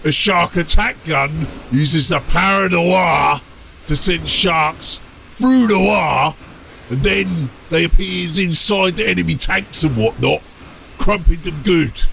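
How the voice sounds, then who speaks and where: Big bossy ork captain for an audiobook, slow gravelly bellowing, rough grammar, bit of noise behind. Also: male voice, a little high, expressive, bright, forceful tone, voice pushed up, heavily acted. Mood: rousing. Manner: drawn-out, emphatic bellowed delivery with rough grammar. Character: gruff bossy ork submarine captain, barking drill-sergeant type.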